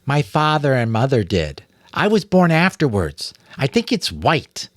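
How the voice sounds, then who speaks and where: A man's voice: medium pitch, wildly swooping pitch, measured pace, dry recording, clean studio sound, voice pushed up. A man voicing a small young girl rat, childishly earnest, blunt and suspicious, in an audiobook. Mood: naive, earnest.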